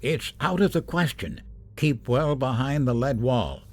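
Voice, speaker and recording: A man's voice: medium pitch, sing-song, a little slow. An actor playing a middle-aged professor, a stern yet anxious father, in a radio drama. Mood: tense, grim.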